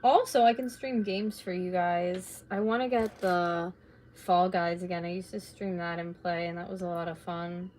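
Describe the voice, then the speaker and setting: A woman in her late twenties, slightly raspy from talking, chatting casually and rambling while she crafts at home on a live stream. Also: fairly low pitch, fairly even intonation, normal speed, a little mellow, a little hiss or hum. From North America. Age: late 20s. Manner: rambling, chatty, laid-back with filler words.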